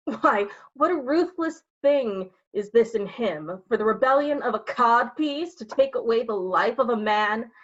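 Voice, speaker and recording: A woman's voice: on the high side, lively intonation, unhurried, a bit bright. Dull-sounding cheeky gossiping rogue, played by a woman. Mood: mocking, gossiping. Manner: cheeky, insinuating, theatrical. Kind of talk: staged reading of Shakespeare verse and prose.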